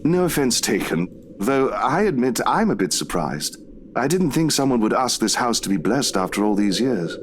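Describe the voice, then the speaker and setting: A man's voice: mid-range voice, very animated, normal speed, close to an everyday voice. Kindly young priest played by a man, noisy ambience. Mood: genial. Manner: gently preaching, courteous. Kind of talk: visual novel voice acting.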